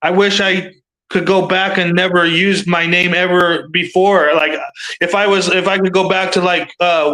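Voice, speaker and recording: A man's voice: high voice, sharp tone, rough recording. A man in his thirties hosting a livestream panel, rambling in casual chat and swearing freely.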